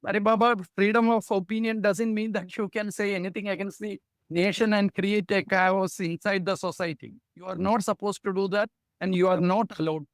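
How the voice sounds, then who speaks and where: A man's voice: high-pitched, steady tone, normal speed, no room sound. A man with an Indian English accent, speaking earnestly as a guest in a discussion. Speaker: guest journalist. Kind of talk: opinionated discussion.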